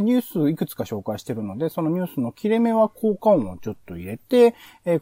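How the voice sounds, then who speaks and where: A man hosting a podcast in casual, analytical chat, often chiming in with quick agreement. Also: medium pitch, mellow, no echo. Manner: frequent agreeing backchannels. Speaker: podcast host reviewing radio shows.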